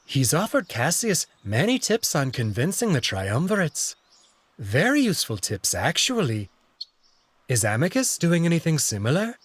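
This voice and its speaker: A man voicing a dainty, refined young male alien courtier in a visual novel, polite, measured and coy. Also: on the high side, huge pitch swings, a bit bright, breathy edge, no echo, voice pushed up, full character voice. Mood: polite, informative. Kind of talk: visual novel character acting.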